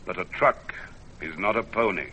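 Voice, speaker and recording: A man's voice: low-pitched, moderate pace, bright, forceful tone, a little hiss or hum. A man playing a dramatic storyteller, narrating an old-time radio adventure in a theatrical, incantatory way. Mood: suspenseful. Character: dramatic male storyteller of a perilous mountain climb.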